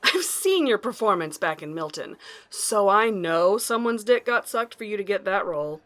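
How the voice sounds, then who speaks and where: Female actor as cool, sharp-tongued mystery woman, mid-pitched, animated intonation, frank and unafraid, audio drama. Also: normal speed. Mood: mocking. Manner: blunt, mocking, self-possessed. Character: bold, sharp-tongued mystery woman, coolly confrontational, privately kind.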